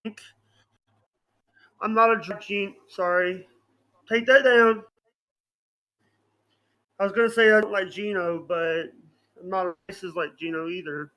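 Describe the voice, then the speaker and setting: A man in his late twenties chatting as a podcast guest, halting and scattered, often repeating himself. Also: sits high, fairly even intonation, pushed and bright, dry recording, rough recording. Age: late 20s. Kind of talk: casual podcast chat.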